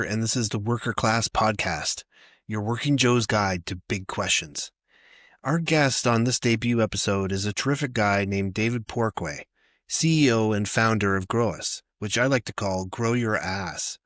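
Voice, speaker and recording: A man's voice: on the low side, a bit bright, dry recording, clean studio sound. Chatty North American man hosting. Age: 40s or older. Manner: friendly, jokey, chatty with laughs. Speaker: podcast host. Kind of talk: casual interview.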